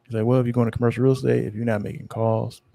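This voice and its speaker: A man co-hosting a podcast in casual chat, rambling, low-key and sleepy-sounding, often losing his train of thought. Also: level tone, racing, gravelly, dark tone. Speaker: podcast co-host.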